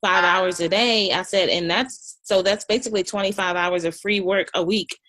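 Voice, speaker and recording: A woman on a group video call, telling a story in an animated way and acting out what she said. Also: medium pitch, normal speed, poor audio. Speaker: participant in a coaching group call.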